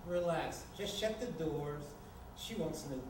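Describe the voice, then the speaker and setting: A man's voice: fairly high pitch, expressive, a little slow. Man reading as a wry, teasing housemate, echoey. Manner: sardonic, needling. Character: wry, teasing, unbothered male housemate, messier one. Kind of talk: staged screenplay reading, comedic. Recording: distant, very echoey room, noisy ambience.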